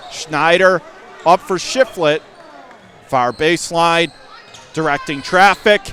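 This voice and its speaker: High-voiced man calling live basketball. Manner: clipped running phrases, bursts of excitement. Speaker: radio play-by-play announcer. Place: indoor high school basketball gym.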